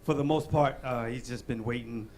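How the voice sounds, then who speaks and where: A man, a guest performer talking in a calm, measured way. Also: medium pitch, noisy background. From New York.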